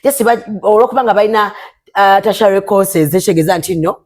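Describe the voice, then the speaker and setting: Fast-talking Ugandan young woman, forceful. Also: medium pitch. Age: young adult. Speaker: interview guest. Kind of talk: heated casual interview.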